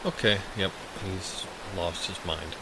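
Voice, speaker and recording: A man's voice: low-pitched, slow, noisy background. A man acting in an audio drama as a skeptical, frightened fisherman, protesting sardonically. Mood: worried, sardonic. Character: skeptical, frightened fisherman arguing against a dangerous plan.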